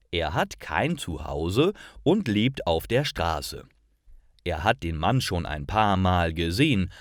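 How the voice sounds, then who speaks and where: Guy with a lowish voice reading learner stories really slowly, dry close mic. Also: no echo. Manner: clear, carefully paced reading. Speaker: storyteller for German learners. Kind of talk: scripted slow narration.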